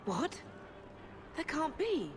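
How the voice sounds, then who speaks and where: A woman's voice: sits high, sing-song, drawn-out words, exaggerated voice. Swooping, anxious noblewoman. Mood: dismayed. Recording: noisy game ambience.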